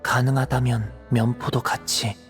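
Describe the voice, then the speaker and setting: A man voices a reserved teen boy in a game, shy and hesitant. His voice sits mid-pitched in long reverb, with music behind. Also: little pitch movement, measured pace, natural voice. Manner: hesitant, understated. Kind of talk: Korean video game voice acting.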